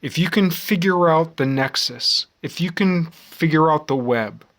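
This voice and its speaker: A man in his forties with an American accent, giving a rambling, reflective monologue in a halting, musing way with repeated phrases. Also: fairly high pitch, fairly bright tone. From United States. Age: 40s. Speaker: vlogger.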